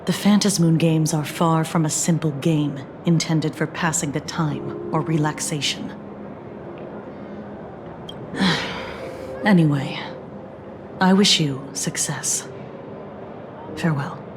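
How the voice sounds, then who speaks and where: A woman voicing a composed, formal investigator in a video game, polite and measured as she gives a warning, with game music behind. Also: sits low, steady tone, noisy background. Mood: cool.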